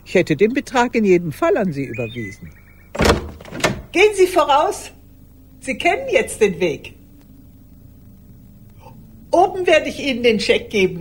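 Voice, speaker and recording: An older woman acting a formal, lonely old woman in a radio play monologue, speaking in a measured, deliberate way. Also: mid-range voice, slight background noise.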